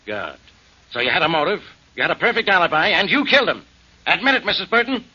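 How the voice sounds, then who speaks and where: An actor playing a pushy police inspector who presses a suspect, in 1940s radio drama style. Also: male voice, sits high, very animated, quick pace, bright, forceful tone, breathy edge, heavily acted. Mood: accusing. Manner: insistent, accusing. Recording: dull old radio broadcast.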